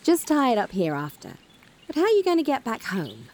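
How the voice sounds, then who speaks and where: Lively, no-nonsense countrywoman. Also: a little high, fairly quick. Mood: kindly insistent. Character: practical, generous wartime countrywoman, dryly shrewd. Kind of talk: naturalistic audio drama acting.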